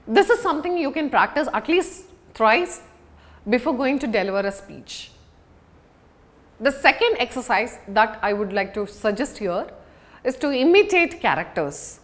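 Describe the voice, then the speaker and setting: A woman giving an instructional lesson as a public speaking coach, talking in a deliberate, emphatic way with pauses for stress. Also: fairly high pitch.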